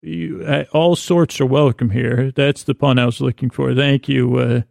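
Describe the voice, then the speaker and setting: Creaky-voiced, rambling man. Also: medium pitch, fairly even intonation, darkish tone, dry recording, clean studio sound. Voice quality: mumbling. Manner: meandering, halting, stammering and repeating words; silly, self-deprecating. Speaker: podcast host. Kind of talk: rambling, whimsical bedtime monologue.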